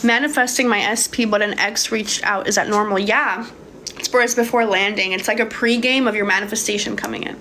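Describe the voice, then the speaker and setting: A woman's voice: medium pitch, steady tone, brisk, bright, forceful tone, slight background noise, rough recording. A young woman from Hungary answering viewers' questions about manifesting in a casual, swearing livestream chat. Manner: casual, swears freely, calls viewers babe. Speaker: manifestation coach. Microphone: phone.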